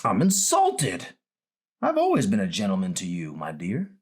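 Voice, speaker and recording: A man's voice: low voice, wildly swooping pitch, moderate pace, darkish tone, slightly rough, heavily acted. A man reading the part of a frail, ornery, teasing old man in a hospital bed from a novel. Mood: mock indignant. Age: older.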